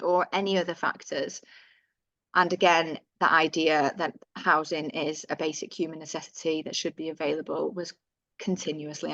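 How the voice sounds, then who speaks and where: Low-voiced woman presenting webinar findings. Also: fairly bright tone, dry recording. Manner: conversational, with self-corrections and asides. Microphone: computer mic on a video call. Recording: dull, lo-fi webinar audio.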